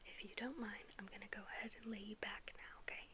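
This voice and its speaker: A woman whispering softly in an ASMR roleplay as a dentist, gently and politely asking questions. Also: medium pitch, fairly even intonation, fast. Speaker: ASMR performer playing a dentist.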